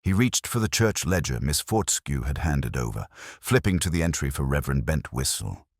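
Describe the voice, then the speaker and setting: A man narrating a scripted mystery in a measured, wry literary manner. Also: rumbling low, sing-song, dry recording, studio quality.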